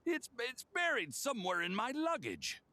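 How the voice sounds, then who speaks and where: An actor playing a polite, flustered old man in a video game, creaky and hesitant. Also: extremely high pitch, huge pitch swings, normal speed, pushed and bright, slight background noise, heavily acted. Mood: flustered, apologetic. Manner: apologetic, hesitant.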